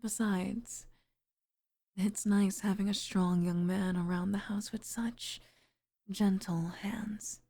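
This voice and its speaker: A woman as a slow-talking, soft, flirtatious mature mom. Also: mid-range voice, fairly even intonation. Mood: tender, grateful. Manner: soft, breathy, lingering, often near a whisper. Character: sweet flirtatious middle-aged single mother, warm, shyly flustered. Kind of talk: intimate ASMR roleplay acting.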